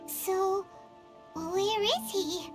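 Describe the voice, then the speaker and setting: Squeaky, very high tiny fairy. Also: female voice, very slow, heavily acted. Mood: puzzled. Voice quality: squeaky, childlike. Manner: exclamatory, wide-eyed. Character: tiny excitable childlike floating fairy companion. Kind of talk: cartoonish video game acting. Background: loud game music.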